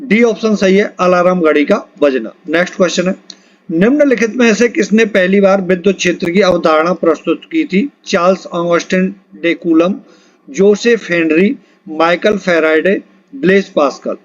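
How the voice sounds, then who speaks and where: High-pitched man teaching, dull lo-fi sound. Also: moderate pace. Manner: instructional, prompting listeners to answer. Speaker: exam-prep physics teacher. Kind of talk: quiz lesson, reading questions, options and answers aloud. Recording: dull lo-fi recording.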